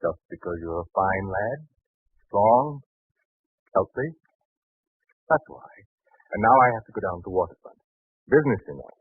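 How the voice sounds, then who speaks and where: A man's voice: on the low side, animated, moderate pace, pauses often, slightly rough, no room sound. An actor playing an anxious, doubting merchant and father in an old biblical radio drama, speaking earnestly. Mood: tender, fatherly. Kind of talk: 1940s radio drama acting. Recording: muffled, thin 1940s radio recording.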